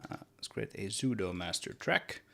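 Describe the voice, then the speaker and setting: A man with a Swedish accent and a deep, low voice chats casually while he works at his desk. He thinks aloud, restarting and repeating words in a relaxed, rambling way. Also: normal speed, gravelly. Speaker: solo game developer streaming. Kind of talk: casual livestream chat while working.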